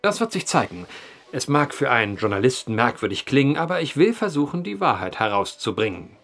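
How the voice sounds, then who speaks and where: An actor playing a wry, polite journalist who poses as a farmhand, speaking eloquently in a German audio drama. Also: male voice, medium pitch, sing-song, brisk, a bit bright, faint music behind. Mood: musing, wry. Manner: eloquent, dryly ironic, polite. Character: wry truth-seeking journalist posing as clumsy farmhand.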